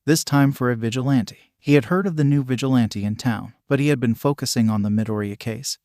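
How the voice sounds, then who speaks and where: A man's voice: mid-range voice, fairly quick, darkish tone, no room sound, clean studio sound. A man reading scripted fan fiction as a narrator, voicing dialogue much like the narration.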